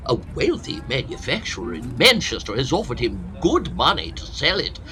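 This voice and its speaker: Pompous professor, wildly swooping high voice. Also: male voice, fairly bright tone, full character voice. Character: eccentric, self-justifying professor and antiquities collector, husband. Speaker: actor in an audio farce. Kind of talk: comic period radio-play acting.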